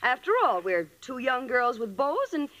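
Level-headed young woman, radio comedy peacemaker, high bright voice, managing and wry. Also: big pitch swings, normal speed, low-quality recording. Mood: wry, practical. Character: sensible, exasperated young woman, the peacemaker roommate. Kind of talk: old-time radio comedy acting.

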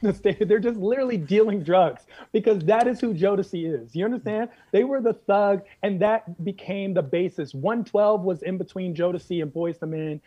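An American man telling comic stories in casual conversation, animated and emphatic, repeating phrases for effect. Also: high voice, normal speed, few pauses, highs cut off. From United States. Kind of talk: comedic storytelling in casual chat.

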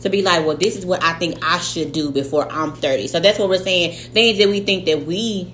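A woman's voice: fairly low pitch, a little fast. Casual podcast co-host, woman, late 30s, swears freely, echoey room with faint hum. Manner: animated, slangy, swears freely. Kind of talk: casual chat.

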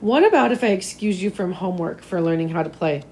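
Storybook role: encouraging, persuasive male teacher read by a woman, slightly hoarse, gentle delivery. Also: lowish voice, expressive, quick pace, poor audio. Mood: coaxing.

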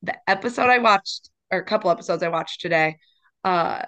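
A woman's voice: on the low side, a little fast, fairly bright tone. A woman co-hosting a podcast in casual chat, rambling and giggly, sometimes breaking into song. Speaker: podcast co-host.

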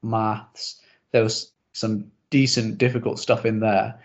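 A young man whose first language is Urdu, hosting a casual interview chat and talking in a quick, self-deprecating way with lots of asides. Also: on the low side, level tone. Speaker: podcast host. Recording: slightly dull lo-fi audio.

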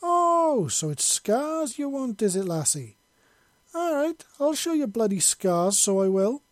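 A man's voice: a little high, moderate pace, dark tone, no echo, natural voice. A man doing a brash, swearing old Scottish soldier. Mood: indignant. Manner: blustering, swearing, defiant. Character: older Scottish male ex-soldier, brash and profane.

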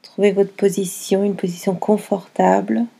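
Breathy, creaky-voiced woman guiding slow meditation. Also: mid-range voice, level tone. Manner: gentle, unhurried, with long pauses between short phrases. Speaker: yoga nidra guide. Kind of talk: guided meditation, slow and soothing instruction.

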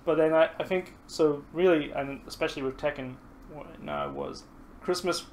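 A man from Scotland whose accent mixes Scottish, American and New Zealand sounds, a podcast guest chatting casually about games with laughs and quieter asides. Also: fairly high pitch, wildly swooping pitch, moderate pace, a little hiss or hum. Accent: mixed Scottish, American and New Zealand. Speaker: podcast guest, fighting game streamer. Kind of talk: casual chat.

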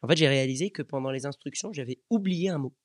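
A man's voice: mid-range voice, fast talker, slightly rough, no room sound, clean studio sound. A bilingual man, the podcast host, who lived a long time in Australia, interviewing a guest in a casual, upbeat way.

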